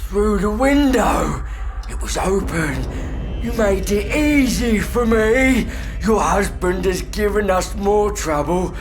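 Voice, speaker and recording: An actor playing a deformed, murderous male intruder in an audio drama, with a rough, threatening voice. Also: high voice, lively intonation, full character voice. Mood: gloating menace. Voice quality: rough, breathy whispering. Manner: slow, drawn-out, threatening delivery. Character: menacing deformed man, a murderous intruder named Tommy. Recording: loud music behind the voice.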